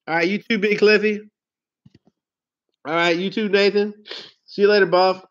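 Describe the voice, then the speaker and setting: A man hosting a casual livestream. He tells rambling stories, laughs and swears easily, and sounds hoarse from a head cold. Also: sits high, fairly even intonation, moderate pace. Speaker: car sales livestream host. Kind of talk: casual livestream chat with viewers. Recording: dull lo-fi stream audio.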